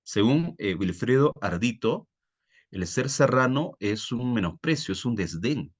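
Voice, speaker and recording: A man's voice: medium pitch, lively intonation, unhurried. A man with a Peruvian Spanish accent teaching a live online class, explaining didactically and often putting questions to his students. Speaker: teacher, exam-prep tutor. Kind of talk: live online reading-comprehension lesson.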